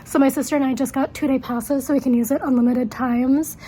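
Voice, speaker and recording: Congested chatty woman vlogging, high voice. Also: steady tone, a little fast, mellow, a little hiss or hum, low-quality recording. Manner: chatty, rambling, self-correcting asides. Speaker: travel vlogger. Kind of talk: casual vlog narration. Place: hotel and casino in Las Vegas.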